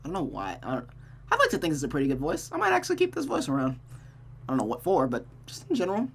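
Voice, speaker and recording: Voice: medium pitch, wildly swooping pitch, fast talker, heavy background noise. A game streamer reading a visual novel aloud and chatting casually about it, voicing the characters along the way.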